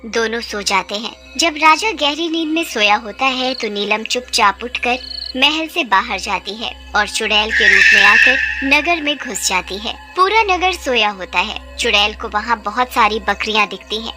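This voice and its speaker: A woman's voice: fairly high pitch, animated, a little slow, loud music behind the voice. A woman narrating a scripted children's fairy tale as a storyteller.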